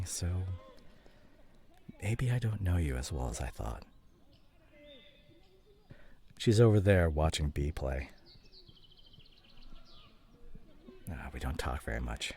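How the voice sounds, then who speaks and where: Estranged husband played by a male actor, audio drama: deep, husky, emotional and wounded, very wide-swinging delivery. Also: darkish tone. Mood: guarded, wistful. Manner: emotional, halting, swinging from muted to heated. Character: estranged husband and father, guilt-ridden, defensive, wounded. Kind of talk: dramatic audio roleplay, one side of a conversation.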